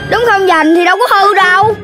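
A woman voicing a whiny, timid young animal child in a children's cartoon, with childlike drawn-out delivery. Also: extremely high pitch. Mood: whiny, complaining. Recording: loud music behind the voice.

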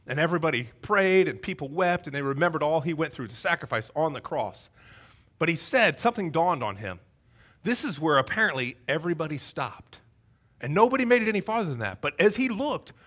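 A man in his thirties with a Southern American accent, preaching an energetic, joking Easter sermon on a church stage. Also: fairly high pitch. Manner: energetic, joking, paces the stage with wide gestures. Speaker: pastor. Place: church stage before a congregation.

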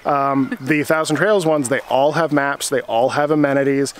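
A man's voice: mid-range voice, normal speed, smooth. A man in his forties, an RV travel vlogger, explaining things steadily to a camera held at arm's length outdoors. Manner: steady, matter-of-fact, with some fillers. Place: outdoors at a campground playground. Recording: faint outdoor noise.

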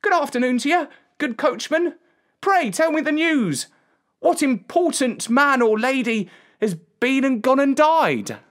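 A woman's voice: high voice, lively intonation, a little slow, pitched above the performer's own voice. A woman voicing a plucky young shepherd boy in a storybook, polite and earnest. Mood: polite, curious.

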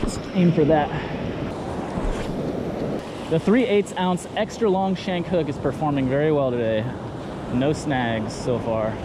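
A man with a high-pitched voice hosts a fishing vlog outdoors. He talks casually and with enthusiasm, in slangy short bursts that he often repeats. Surf and outdoor noise are clearly audible around him. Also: a little slow, pauses often. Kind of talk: casual running commentary while fishing. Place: outdoors on a rocky ocean beach, wading. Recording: noisy outdoor action-camera audio with surf. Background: waves and surf.

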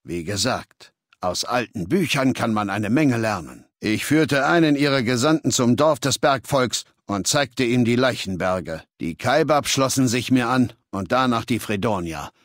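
An actor playing a ruthless, learned middle-aged warlord in a video game, speaking in a measured, authoritative, lecturing way with cold arrogance. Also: male voice, medium pitch, expressive, dry recording, clean studio sound. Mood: lecturing, proud. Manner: measured, authoritative, lecturing, coldly matter-of-fact. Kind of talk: scripted video game dialogue in German.

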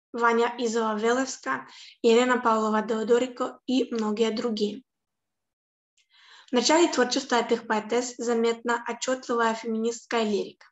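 A young woman gives an online lecture on a video call from a room at home. Her voice sits a little high, and her measured delivery is broken by hesitant fillers and self-corrections. The webcam audio picks up a noticeable room echo. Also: muffled recording. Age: young adult. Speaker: lecturer on Slavic poetry. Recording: echoey room.

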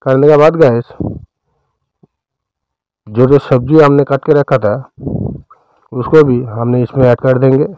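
A man with an Indian accent narrating a recipe step by step as a cooking host, in a smooth, clear voice. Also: mid-range voice, fairly even intonation, unhurried, long pauses, no echo, muffled recording.

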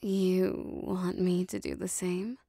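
Casting: actress as possessive, adoring demoness for intimate roleplay audio. Soft, warm dark tone, very close dry recording, formal diction. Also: female voice, on the low side, little pitch movement, dry recording, full character voice. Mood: flustered eager. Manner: soft, breathy, formal archaic phrasing, lingering pauses. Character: lovestruck possessive female demon bound to a sorcerer.

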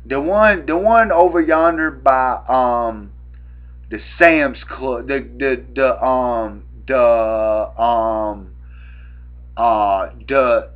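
A man's voice: medium pitch, drawn-out words. Rambling young Southern man, muffled sound. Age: young adult. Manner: rambling, repetitive, stammering. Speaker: prank caller. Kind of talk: improvised prank phone call. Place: small room at home, indoors. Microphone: webcam at arm's length. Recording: dull, lo-fi webcam audio.

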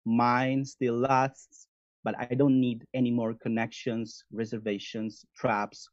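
A man's voice: lowish voice, darkish tone, dry recording. Man on a dull video call. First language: Italian. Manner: halting, many restarts. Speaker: guest. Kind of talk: conversational interview, reading a poem.

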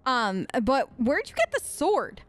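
A woman's voice: high voice, wildly swooping pitch, takes it slowly. A woman doing casual, improvised role-play commentary on a game, flippant and chatty, joking in character. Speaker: let's play host.

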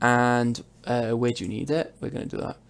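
A man's voice: mid-range voice, fairly even intonation, moderate pace, creaky. A young man from South Wales with a Welsh accent, chatting and telling rambling stories while livestreaming a truck game. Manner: rambling, chatty storytelling with many asides. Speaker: gaming streamer. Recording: loud game noise behind. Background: truck game engine and road sounds.

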